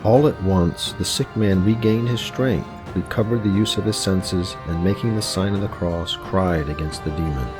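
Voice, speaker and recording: A man's voice: low-pitched, moderate pace, hardly any pauses, lightly acted. A man playing a solemn, dramatic teller of miracles in an audio drama. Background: music with guitar.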